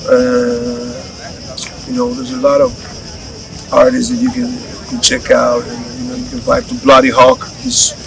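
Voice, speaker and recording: A man's voice: high voice, very animated, a little slow, creaky, poor audio. A middle-aged man from Greece with a Greek accent, a rapper talking casually and slangily in an interview. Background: music.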